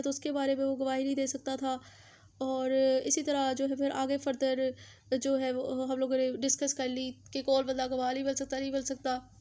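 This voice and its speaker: A woman with a Pakistani accent teaches as a law lecturer. Her voice is high and stays on a narrow, even line. She explains step by step, circling back over points and dropping in English terms. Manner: repetitive, uses English legal terms mixed in. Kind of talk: explanatory lecture, recapping legal articles.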